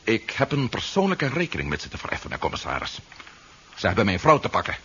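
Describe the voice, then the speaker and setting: A man's voice: fairly low pitch, huge pitch swings, quick pace, pushed and bright, husky, heavily acted. An actor playing a shrewd, wry policeman hero in a 1970s radio drama, with a dry manner. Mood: resolute. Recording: dull, muffled 1970s radio recording.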